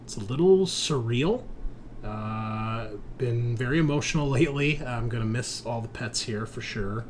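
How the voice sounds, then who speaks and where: A man's voice: mid-range voice, moderate pace, a little hiss or hum. A man hosting a tabletop roleplaying show as game master, chatting casually and informally with some swearing. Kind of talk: casual podcast chat.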